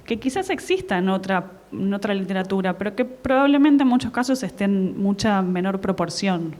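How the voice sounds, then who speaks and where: A woman's voice: mid-range voice, animated, normal speed, darkish tone. Articulate woman translator. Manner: articulate, explanatory, occasional fillers. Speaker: translator and teacher on a panel. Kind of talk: panel discussion. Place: conference hall at a book fair.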